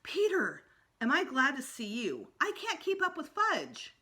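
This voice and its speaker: A woman's voice: high-pitched, huge pitch swings, measured pace, pushed and bright, voice pushed up, exaggerated voice. A woman voicing a doting, anxious mother in a storybook, coaxing and fussing. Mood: harried.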